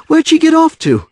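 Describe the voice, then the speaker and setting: A man's voice: very high voice, heavily acted. A man playing a harried, defensive divorced father in an audio drama, weary and impatient. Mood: exasperated. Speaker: voice actor.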